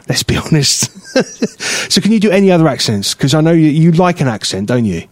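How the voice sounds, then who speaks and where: A man with a London-ish accent co-hosting a radio show, bantering and teasing with quick laughs. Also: on the high side, sing-song. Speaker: radio co-host. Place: radio studio. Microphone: studio microphone.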